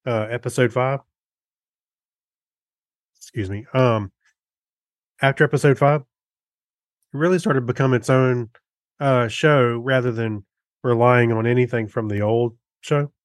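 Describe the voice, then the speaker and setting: A male podcast co-host with a medium-pitched voice, recorded dry. He chats casually and reviews in a halting, rambling flow, circling back as he goes. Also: no room sound. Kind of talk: casual chat reviewing TV shows.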